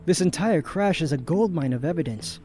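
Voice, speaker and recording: Woman voicing an animated low-voiced boyish rookie. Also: brisk, faint music behind. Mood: eager, sheepish. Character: eager, slightly clueless young male rookie detective. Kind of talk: scripted audio drama dialogue.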